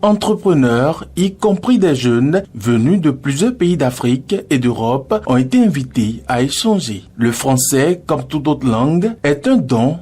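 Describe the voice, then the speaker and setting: Husky male news narrator. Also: mid-range voice, lively intonation, mellow. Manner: measured, formal reading. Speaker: news reporter.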